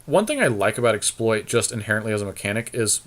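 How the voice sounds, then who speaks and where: Low-voiced man thinking aloud. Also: very animated, fast talker. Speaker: podcast co-host. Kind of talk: casual chat while building a card game deck.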